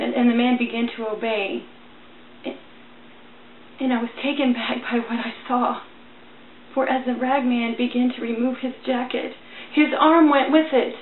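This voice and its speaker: A woman giving a theatrical, emotional dramatic reading as a storyteller. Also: sits high. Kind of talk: dramatic reading of a religious allegory. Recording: tinny, band-limited, echoey, faint noise.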